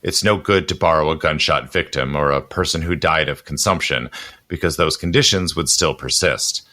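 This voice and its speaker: Very deep, bright, theatrical rogue played by a man. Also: normal speed. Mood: matter-of-fact instruction. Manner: urbane, theatrical, commanding. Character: roguish, imperious male protector, impatient and darkly flippant. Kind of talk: audio drama acting.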